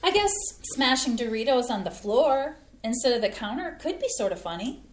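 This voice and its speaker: A woman plays a sincere, homespun mom and home cook in a community stage play. Her voice sits fairly high and comes through an echoey room with some noise behind it. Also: very animated, moderate pace. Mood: excited disbelief. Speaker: actor in a staged play reading. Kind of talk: scripted community theatre acting. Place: indoor playhouse staged reading.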